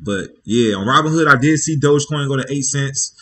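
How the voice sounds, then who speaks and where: A man's voice: mid-range voice, fairly quick, sharp tone. A man hosting a casual livestream, talking in a loose, slangy way, rambling and checking in with his listeners as he goes. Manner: loose and slangy, with frequent "you feel me" check-ins; rambling, thinking aloud with restarts. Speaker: livestream host.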